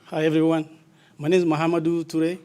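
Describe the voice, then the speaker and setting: A man's voice: a little high, completely flat delivery, fast talker, warm tone, clean tone. A young man from Mali giving a short, hesitant speech to introduce himself as a student.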